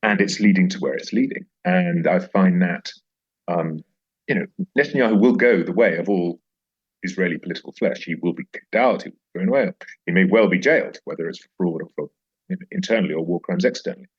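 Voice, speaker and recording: A man's voice: sits low, brisk, breathy edge, lo-fi sound. A man speaking reflectively as an interview guest, emphatic and repeating phrases for effect. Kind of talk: reflective interview conversation with recited verse.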